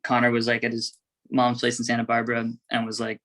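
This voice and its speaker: A man's voice: mid-range voice, monotone, fast talker, pushed and bright. A man with an American accent chatting casually as an interview guest, rambling through stories with lots of filler "like". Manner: rambling, peppered with "like". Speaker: band member, interview guest. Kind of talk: casual chat.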